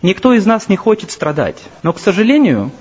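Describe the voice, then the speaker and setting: Brief: earnest thirties male lecturer, fairly high voice, muffled lo-fi take with faint room ambience. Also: very animated, a little mellow, slight background noise. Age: 30s. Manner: earnest, measured and personal. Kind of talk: recorded spiritual lecture. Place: seated in a temple. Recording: dull lo-fi recording with faint ambience.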